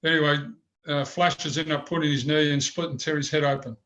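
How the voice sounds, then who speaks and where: A man's voice: fairly high pitch, steady tone, brisk, fairly bright tone. An older man with a big voice, telling rambling stories in an interview. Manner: rambling anecdotes with many restarts. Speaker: former goalkeeper, guest. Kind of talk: storytelling interview.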